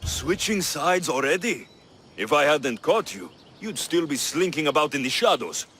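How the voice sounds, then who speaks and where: Bold, animated mercenary captain. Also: male voice, a little high, normal speed, a little hiss or hum. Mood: stern, skeptical. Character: bold, flirtatious, stern male Greek mercenary ship captain. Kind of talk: video game character acting.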